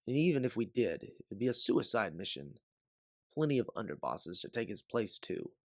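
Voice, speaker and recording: A man voicing a devout, loyal companion in a sci-fi story, speaking in an earnest, persuasive, measured way. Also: on the low side, sing-song, darkish tone, no echo, studio quality. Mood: firm, persuasive. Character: Aleph, devout, loyal, forgiving companion and resistance believer.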